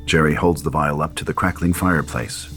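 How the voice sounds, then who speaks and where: A man reading scripted dramatic stage directions as a narrator, in a deliberate storytelling manner. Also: extremely low pitch, fairly even intonation, a bit bright, soft background music.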